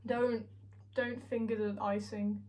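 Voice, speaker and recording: A woman teasing her friend with quick wisecracks while baking in a home kitchen. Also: on the high side, level tone, very noisy. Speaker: co-host baking for a video. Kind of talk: casual chat.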